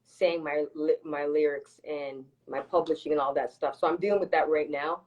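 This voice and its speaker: A woman's voice: deep voice, steady tone, moderate pace, muffled recording. A woman from Toronto, a singer-songwriter guest, talking candidly and casually in an interview and restating her points emphatically. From Toronto, Canada.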